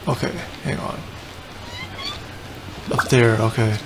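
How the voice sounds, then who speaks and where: A woman's voice: rumbling low, steady tone, slow, background noise. A woman giving casual, offhand gameplay commentary, half muttering to herself. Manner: muttering to self. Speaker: game streamer. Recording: game music behind the voice.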